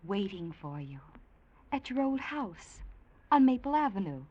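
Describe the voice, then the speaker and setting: An actress playing a tender, dreamlike long-lost sweetheart in a vintage radio drama, soft, breathy and coaxing. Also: female voice, medium pitch, big pitch swings, measured pace. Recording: dull old radio recording.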